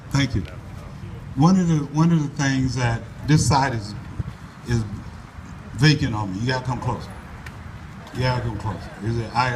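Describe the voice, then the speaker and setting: A man giving an earnest, folksy address to a crowd at an outdoor rally in a park, speaking loudly into a microphone and urging people to come closer. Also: medium pitch. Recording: voice from far off the mic, faint background noise.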